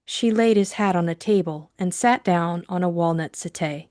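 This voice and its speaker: A woman's voice: fairly low pitch, normal speed, darkish tone, no room sound. Woman narrating a novel, dry studio sound. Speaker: audiobook narrator. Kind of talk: scripted dramatic narration of a novel.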